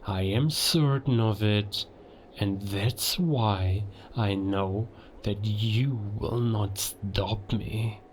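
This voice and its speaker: A man playing a sinister, grandiose beast king in a cinematic audio drama, speaking in a deliberate, taunting way. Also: lowish voice. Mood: grandiose, hushed. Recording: noisy ambience behind the voice.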